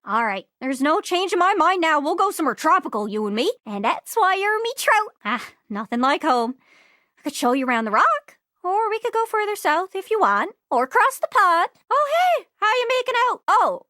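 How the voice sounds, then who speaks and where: A woman voicing an excitable, scatterbrained globe character in a video game, with a folksy Newfoundland accent and a bubbly, exclamatory manner. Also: very high-pitched, moderate pace, no echo, clean studio sound, heavily acted. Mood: friendly, wistful. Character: excitable, scatterbrained living globe longing to travel.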